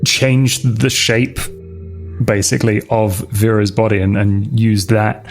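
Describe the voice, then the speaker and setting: A man casually describing his character's moves as a roleplaying game player, in a halting, informal way. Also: fairly low pitch, level tone, faint music behind. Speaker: player on an actual play podcast.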